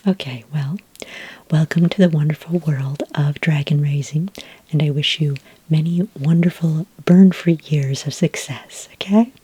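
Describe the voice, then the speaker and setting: A woman playing a patient, helpful fantasy dragon ranch expert in a whispered, breathy, hesitant ASMR roleplay. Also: deep voice, dark tone, dry recording, heavily acted, rough recording. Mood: gentle, reassuring. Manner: hesitant, unhurried explaining, frequent ums.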